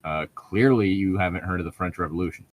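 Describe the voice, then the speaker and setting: A man's voice: low-pitched, fast talker, no echo, clean studio sound. A man reading fan fiction aloud and breaking off into sarcastic, sweary commentary with an exasperated, mocking manner.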